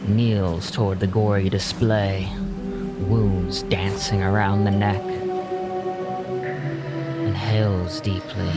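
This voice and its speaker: A man delivers scripted dramatic narration in a fairly low voice. He is very unhurried and suspenseful. Music plays faintly but clearly behind him. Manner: deliberate, suspenseful storytelling.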